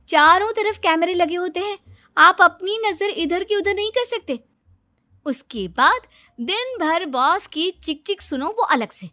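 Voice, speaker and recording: Woman acting boastful young wife, cartoon style, high voice, self-assured, eager, lively swings, dry sound. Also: no room sound, exaggerated voice. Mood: boastful, insistent. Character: boastful know-it-all young daughter-in-law. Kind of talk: exaggerated cartoon family-drama acting.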